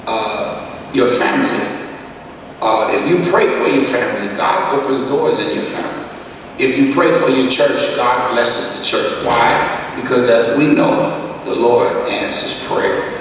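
Gravelly-voiced pastor in an echoey hall. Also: male voice, medium pitch, a bit bright. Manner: conversational, halting with many fillers. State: slightly rough voice. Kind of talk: Bible study teaching. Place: church hall, indoors. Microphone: podium microphone, far camera. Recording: echoey hall, distant mic, background hiss.

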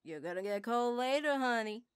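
A woman's voice: a little high, lively intonation, normal speed, smooth, dry recording, natural voice. A woman voicing an older, motherly grandmother in a full-cast audiobook, with a drawling Southern accent and an affectionate, teasing manner.

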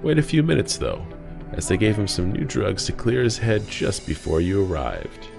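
A man playing a sinister, wry asylum inmate in an audio drama, calm and deadpan as he taunts. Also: on the low side, moderate pace, gravelly, over music, heavily acted.

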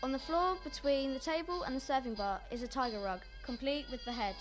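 High-voiced young woman narrating. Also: fairly quick, faint music behind. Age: young adult. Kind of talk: scripted radio play narration.

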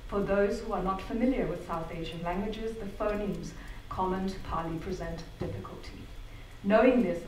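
A middle-aged woman gives a measured, articulate talk with the odd wry aside. Her voice sits at an ordinary pitch and carries from a distance. It echoes around a big theatre, with a faint hum of room noise underneath. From Zambia. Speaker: speaker giving a talk. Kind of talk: prepared public talk. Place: theatre hall. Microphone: venue sound picked up from the back of the hall. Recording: far-off roomy hall capture.

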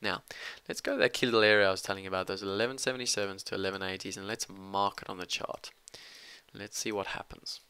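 Crisp, bright-voiced man teaching trading. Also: deep voice, steady tone, fast, no echo. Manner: emphatic, punctuates points with "okay". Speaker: trading instructor. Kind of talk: screen-share trading lesson.

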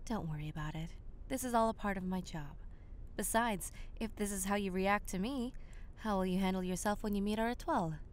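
A woman voicing a composed, teasing teenage girl who leads a student council in an anime fan dub, with formal, measured delivery. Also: medium pitch, slight background noise, lightly acted. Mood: wry, composed. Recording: music under the dialogue.

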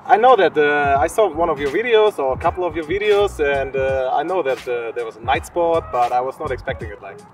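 A man's voice: on the high side, a little fast, hardly any pauses, distant mic. Off-mic German man chatting outdoors. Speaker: interviewee, drone pilot. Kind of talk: casual chat. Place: outdoor parking lot at night. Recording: outdoors off-mic with music behind.

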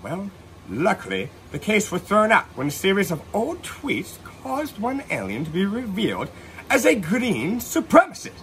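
A man's voice: high voice, wildly swooping pitch, moderate pace, fairly bright tone, a little hiss or hum, heavily acted. A man playing an earnest oddball who tells of alien encounters in a mock-serious, theatrical comedy monologue. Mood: dramatic storytelling.

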